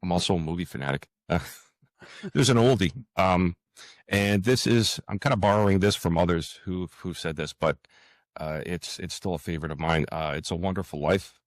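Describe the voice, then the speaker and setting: Very deep-voiced, hesitant man in conversation. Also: no echo. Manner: hesitant, halting, with frequent pauses and repeated words. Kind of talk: casual interview conversation.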